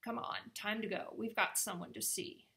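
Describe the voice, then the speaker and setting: A woman's voice: medium pitch, fairly even intonation, moderate pace, creaky, pushed and bright. A woman voicing a bossy, sarcastic male raven in a storybook. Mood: grumbling, bossy.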